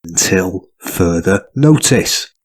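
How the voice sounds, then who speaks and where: A prim, hypochondriac young male student in an audio drama. He is pompous and indignant, with a husky voice that rises and falls sharply as he lays down rules. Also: deep voice, lively intonation, takes it slowly, heavily acted. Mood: officious. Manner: pompous, reproachful, formal wording.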